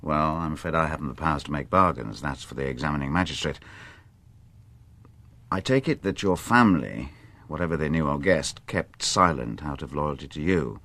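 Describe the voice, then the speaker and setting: Radio drama inspector: very deep register, shrewd and tactful, unhurried questioning, courteous hesitations. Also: male voice, animated, sharp tone, no echo, full character voice. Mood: probing, measured. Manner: measured, probing, politely hesitant. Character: shrewd, patient, discreet male police inspector questioning a suspect. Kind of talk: radio drama acting, interrogation dialogue.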